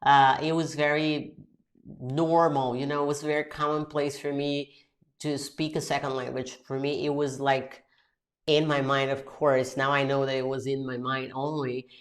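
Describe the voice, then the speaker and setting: A woman's voice: very deep voice, flat delivery, normal speed. A woman in her forties with a Brazilian Portuguese accent, hosting her own podcast in a chatty way, switching between Portuguese and English. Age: 40s. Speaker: podcast host and English teacher. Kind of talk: casual solo podcast talk.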